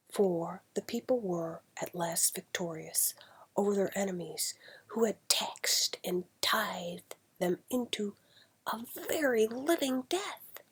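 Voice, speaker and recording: A woman with a husky, breathy voice reading an old novel aloud in a deliberate, dramatic storytelling manner. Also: medium pitch. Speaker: amateur reader.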